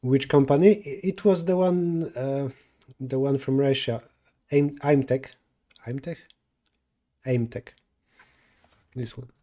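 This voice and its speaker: Mellow-voiced Polish man thinking aloud. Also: medium pitch, fairly even intonation, slow pace, long pauses. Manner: thinking aloud, self-correcting mid-sentence. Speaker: streamer solving coding problems. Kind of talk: live coding stream, answering chat.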